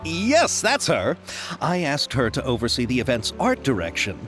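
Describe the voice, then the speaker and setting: A man's voice: medium pitch, wildly swooping pitch, normal speed. An actor voicing a polite, eager young male film producer in a game, courteous and wordy, with nervous little laughs. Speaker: game character. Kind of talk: video game voice acting. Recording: game audio with soft music.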